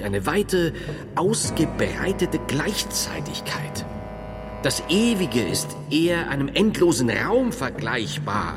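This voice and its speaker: An actor playing a learned, solemn and modest medieval friar and philosopher in a German radio play, speaking in a measured, didactic way. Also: male voice, mid-range voice. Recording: long cavernous reverb, music underneath.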